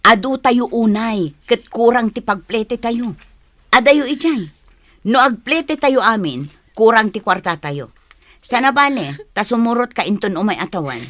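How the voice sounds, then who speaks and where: A woman's voice: a little high, animated, measured pace, fairly bright tone, no room sound. A woman playing a stern, exasperated village mother who scolds, in an Ilocano radio drama. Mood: firm.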